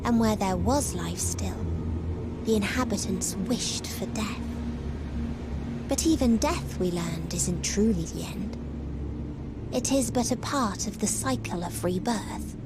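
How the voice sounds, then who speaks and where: A woman playing a grave, nihilistic otherworldly villain in a video game, with solemn, formal, deliberate phrasing. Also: mid-range voice, animated, noisy background. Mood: bleak, solemn. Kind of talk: dramatic video game voice acting. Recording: music behind the voice.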